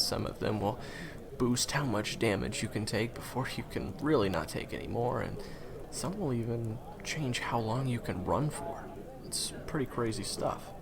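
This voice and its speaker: A man's voice: medium pitch, vocal fry. A man acting in an audio roleplay as a friendly, awkward, eager young guide to monster hunters, talking hesitantly and stammering to the listener.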